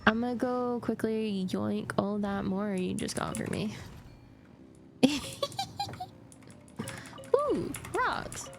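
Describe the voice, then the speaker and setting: Slow, creaky-voiced woman streaming. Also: medium pitch, sing-song. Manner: playful exclamations, muttering to herself. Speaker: game streamer. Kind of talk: casual livestream gameplay chat. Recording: music behind. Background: game music.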